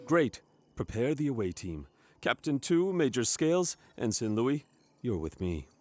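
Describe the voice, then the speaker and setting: Man acting a blustery, animated starship captain. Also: mid-range voice, unhurried. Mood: pompous. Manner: commanding, exasperated, theatrical. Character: blustery male spaceship captain, brash, impatient, self-important. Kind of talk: comedic sci-fi stage play reading.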